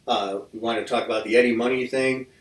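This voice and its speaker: A man's voice: medium pitch, steady tone, fast talker. A man hosting a podcast, chatting casually with his guests, often restarting his sentences and joking as he goes. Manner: rambling, restarts sentences, jokey. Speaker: podcast host. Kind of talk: casual interview chat. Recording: roomy mic from a distance.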